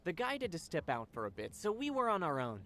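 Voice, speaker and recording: An actor playing an enthusiastic, dramatic young male student in a video game, excitable in manner. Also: a little high, fast talker, slight background noise, full character voice. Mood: shaken, dramatic.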